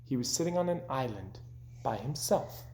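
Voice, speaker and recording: A man reading a children's story aloud as narrator, expressive, gentle and deliberate. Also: medium pitch. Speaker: storybook reader.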